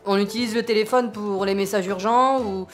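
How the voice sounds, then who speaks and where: A woman's voice: mid-range voice, fairly even intonation, moderate pace, natural voice. A woman playing an eager, simple-minded young male soldier in a comic audio drama, stammering and repeating words. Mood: earnest. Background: music.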